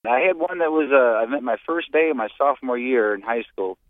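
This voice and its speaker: An American man calling in to a radio show and reminiscing casually, halting often and repeating words as he talks. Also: medium pitch, animated, no echo. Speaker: radio call-in listener. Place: on a phone call to a radio studio. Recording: muffled phone-like call-in line.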